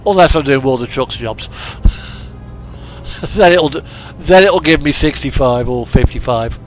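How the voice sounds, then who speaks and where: A man's voice: mid-range voice, lively intonation, slow pace, rough recording. A man streaming a truck driving game, giving easygoing, rambling casual commentary and chatting to viewers. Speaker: livestreamer playing a truck driving simulator. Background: background music and game truck engine.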